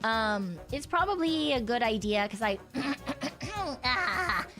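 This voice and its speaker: A woman's voice: fairly high pitch, expressive, slow pace, pushed and bright, faint music behind. Chatty streamer woman, slightly throaty voice. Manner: rambling, chatty, swears casually, laughs often. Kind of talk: casual chat stream.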